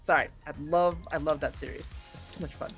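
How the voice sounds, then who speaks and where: A woman chatting casually as an interview guest, excitable, effusive and expressive in the way she talks. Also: low voice, a little fast, husky, faint music behind. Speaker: voice actor guest being interviewed.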